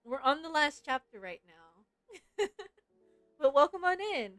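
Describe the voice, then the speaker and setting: Playful, high-voiced woman streaming a game. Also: sing-song, slow pace, fairly bright tone, no room sound. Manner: playful, giggly, teasing. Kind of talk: casual livestream chat and reading game story aloud. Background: game music.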